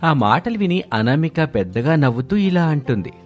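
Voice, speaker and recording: A man's voice: on the high side, very animated, normal speed, faint music behind. Man narrating a scripted story.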